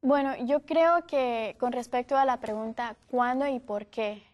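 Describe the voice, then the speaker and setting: A young woman from Guatemala speaking as a panelist, explaining her view calmly and reasonably. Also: on the high side, measured pace, muffled recording. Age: young adult. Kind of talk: panel discussion.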